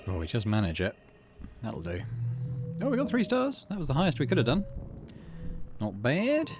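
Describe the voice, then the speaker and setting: A man's voice: medium pitch, wildly swooping pitch, moderate pace, a little hiss or hum. A man hosting a game playthrough, reading story text aloud expressively and adding casual asides. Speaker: gaming video host.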